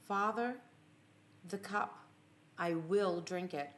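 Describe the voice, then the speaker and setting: A woman's voice: on the low side, slow pace. A woman voicing a solemn, resolute man in anguished prayer, grave and poetic, in an audiobook. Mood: resolute surrender.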